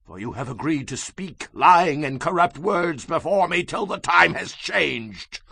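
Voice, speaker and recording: An actor playing an angry, imperious king in a dramatized audio Bible, with a raspy, commanding, theatrical delivery. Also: fairly high pitch, animated, measured pace, bright, forceful tone, no room sound, exaggerated voice. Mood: angry, accusing. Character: angry, imperious king issuing threats and demands.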